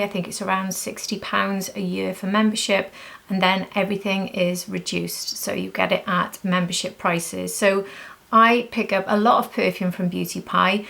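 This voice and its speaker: A woman with an average-pitched voice that often crackles into fry. She talks like a beauty vlogger going through favourite products, chatty and informal. Her delivery gushes, leaning on repeated words for emphasis. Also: fairly bright tone. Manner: gushing, emphatic repetition. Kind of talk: casual chatty product review.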